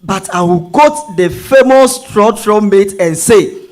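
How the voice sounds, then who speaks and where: Man acting a high-voiced, flirtatious charmer. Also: lively intonation, slow pace. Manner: teasing, persistent. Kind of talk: dramatized stage reading.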